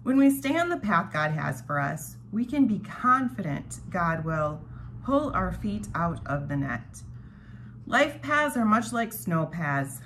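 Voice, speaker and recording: A woman's voice: fairly low pitch, very animated, slow, fairly bright tone. A woman hosting a devotional read-along, reading prepared reflections aloud with casual asides, recorded in a dining room at home. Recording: home recording with clear background noise.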